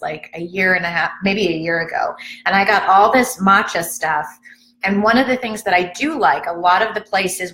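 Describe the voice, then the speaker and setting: A woman's voice: mid-range voice, a bit bright, a little hiss or hum. Chatty woman live-streaming from an echoey room. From Chicago area. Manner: chatty and rambling, self-deprecating, reacting to viewer comments. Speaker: fitness vlogger hosting a live stream. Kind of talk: casual live-stream chat to viewers. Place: at home, indoors.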